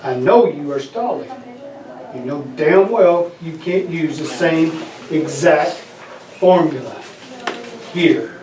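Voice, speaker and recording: Stern interrogating commander, man at a table read, higher male voice, distant mic, impatient and accusatory. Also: expressive, slow pace, long pauses, darkish tone. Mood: angry, threatening. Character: menacing, impatient male military commander interrogating a captive scientist. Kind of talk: amateur script table read. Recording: echoey room, distant mic, people around.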